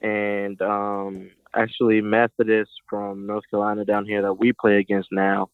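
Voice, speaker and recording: A young man from Puerto Rico talks casually over a tinny, phone-like line. His voice is low, flat and smooth, and the sound is dry. He keeps it relaxed, leaning on "like" and "you know". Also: normal speed, dry recording. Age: young adult. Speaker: guest, college football player. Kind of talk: phone interview.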